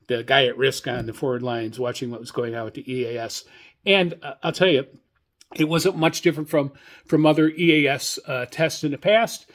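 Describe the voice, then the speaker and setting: An older man hosting a hobbyist podcast, giving informal solo news commentary in a hesitant, halting, rambling way. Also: medium pitch. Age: older, 60s or above. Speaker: radio hobbyist podcast host.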